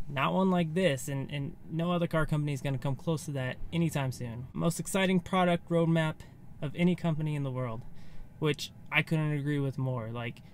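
Higher-pitched American man, casual vlogger. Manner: informal, loosely phrased, thinking aloud. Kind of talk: casual off-the-cuff recap to camera. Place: inside a parked car. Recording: faint background ambient noise.

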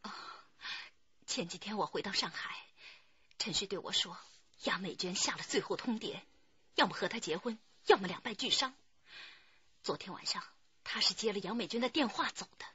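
A fierce, aggrieved wife in a radio drama. Her voice is raspy and bright, swinging widely in pitch. The sound is dry and close. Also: lively intonation, moderate pace, pauses often, no echo, lo-fi sound, heavily acted. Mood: bitter recounting.

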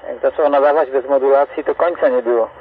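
Gravelly man over tinny CB radio. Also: mid-range voice, fairly even intonation, fast. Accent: Polish, Greater Poland. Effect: CB radio transmission. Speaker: amateur CB radio operator. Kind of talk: casual radio chat. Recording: tinny, noisy CB radio reception. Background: radio static.

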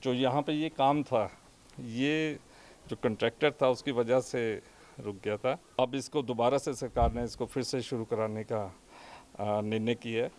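A middle-aged man, an education official, gives a halting press statement outdoors at a school, speaking into a bunch of press microphones. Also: mid-range voice, steady tone, darkish tone, faint music behind. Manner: measured, halting with fillers. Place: outdoors in front of a school building. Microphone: bunched press microphones held up to him.

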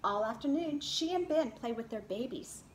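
A middle-aged woman speaks to small children as their teacher, in an expressive storytime style. Her voice sits fairly high and swings widely in pitch. It is recorded from a short distance in an echoey space. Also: moderate pace. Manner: expressive, addressing young children. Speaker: preschool teacher reading aloud. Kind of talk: children's picture book read-aloud. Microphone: camera a short distance away. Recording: roomy echo, clear background noise.

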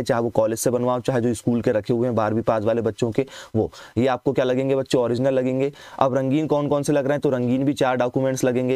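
A man's voice: medium pitch, mellow, clear, resonant tone, no echo. A man from India, a coaching teacher, explaining admission fees to students, often asking them a question and then answering it himself. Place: voice-over on scanned documents.

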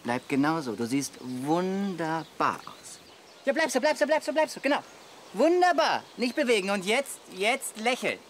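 An actor playing a smooth-talking, bossy advertising photographer with a gushing, directive manner in a comic radio play. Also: male voice, sits high, big pitch swings, normal speed, a bit bright, a little hiss or hum. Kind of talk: German radio play acting.

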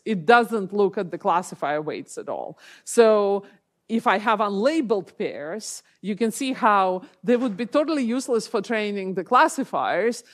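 Woman lecturing on a conference stage. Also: mid-range voice. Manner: thoughtful, explanatory, frequent self-corrections. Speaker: keynote speaker, professor. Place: large lecture hall, speaking from a stage.

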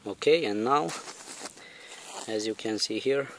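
A man giving a casual, hands-on demonstration in a halting, deliberate way, recorded outdoors on a handheld camera. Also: on the low side, fairly bright tone, a little hiss or hum, poor audio. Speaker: vlogger demonstrating electronics. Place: outdoors on a lawn. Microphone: handheld camera or phone, held by the speaker.

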